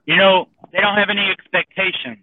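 Earnest guy calling in, high voice, sounds like a tinny phone. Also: fairly even intonation, a little fast. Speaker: group member. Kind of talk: casual discussion.

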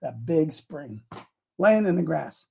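An American man with a raspy voice hosting a religious talk show, chatting casually in a folksy, animated way and telling rambling personal stories. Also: fairly high pitch, takes it slowly. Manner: folksy, animated, repeats phrases for emphasis.

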